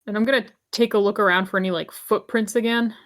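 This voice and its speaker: Woman player with a medium-pitched voice. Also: fairly even intonation, quick pace. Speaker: player in a tabletop role-playing game. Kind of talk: casual chat and in-character questions.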